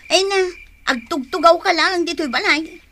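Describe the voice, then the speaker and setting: Weary, nagging market vendor wife. High, bright, animated delivery, Ilocano accent, sighing radio-drama complaints. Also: female voice, normal speed. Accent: Ilocano, northern Philippines. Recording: noisy radio drama recording.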